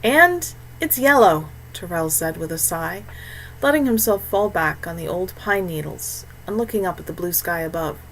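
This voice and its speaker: A woman's voice: fairly low pitch, moderate pace, slight background noise, rough recording. A woman reading scripted fantasy fiction as an audiobook narrator.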